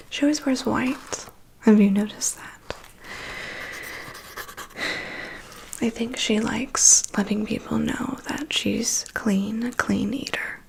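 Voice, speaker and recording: A woman acts out a vampire's weary, gossipy female assistant for an ASMR roleplay. She whispers slowly and softly, confiding as she tends to someone. Where voice breaks through, it is lightly creaky. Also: a little high. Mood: gossipy, wry. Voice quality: soft, breathy whisper. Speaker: ASMR performer. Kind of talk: ASMR personal attention roleplay.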